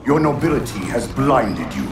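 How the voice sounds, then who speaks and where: An actor playing an ancient, vengeful alien warlord in a video game, grandiose and contemptuous, delivering pompous, theatrical pronouncements. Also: male voice, mid-range voice, sing-song, normal speed, far from the mic, natural voice. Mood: bitter contempt. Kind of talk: dramatic villain monologue in a video game. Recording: cavernous echo from afar, orchestral music behind.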